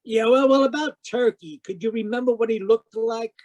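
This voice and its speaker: High-voiced wry private detective played by a man. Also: normal speed, darkish tone. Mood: inquisitive. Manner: wry, hard-boiled storytelling. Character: Sam Spade, wry inquisitive male private detective. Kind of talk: radio detective drama, first-person narration and dialogue. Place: live remote performance over video call.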